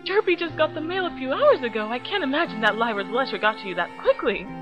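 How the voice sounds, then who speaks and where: A woman voicing a genteel, brisk noble unicorn mother from a cartoon in a fan story. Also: high voice, big pitch swings, over music, pitched above the performer's own voice.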